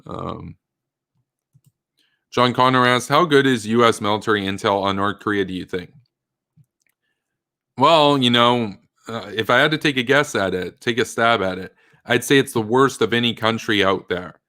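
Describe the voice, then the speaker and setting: A man's voice: mid-range voice, moderate pace, pauses often, sharp tone, no room sound, studio quality. A man hosting a political live stream, reading chat questions and answering in a blunt, rambling, emphatic way with many fillers. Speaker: political commentary host.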